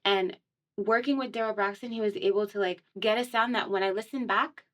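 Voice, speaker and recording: A woman's voice: mid-range voice, steady tone, a little fast, fairly bright tone. A young woman from Miami, a singer guest on a radio show, talking in a chatty, casual way with lots of "um" and "like" and frequent laughter. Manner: chatty, laughs often, many fillers like "um" and "like". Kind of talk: casual conversational interview. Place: radio studio.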